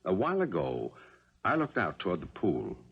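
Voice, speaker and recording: A haunted but affable middle-aged father in a muffled 1970s radio play. His husky voice is low and very animated in pitch, with a musing, genial manner. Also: normal speed, exaggerated voice. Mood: pensive, spooked. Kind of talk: 1970s radio drama acting. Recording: muffled vintage radio broadcast recording.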